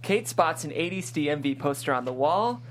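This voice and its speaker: A woman's voice: sits low, lively intonation, moderate pace, slight background noise. A woman reading stage directions as narrator at a live script table read.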